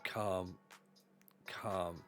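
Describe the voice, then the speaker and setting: A man's voice: low-pitched, steady tone, drawn-out words, faint music behind. A man streaming a football management game live, thinking aloud and urging on his players, a bit congested with a cold. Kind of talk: live gameplay commentary.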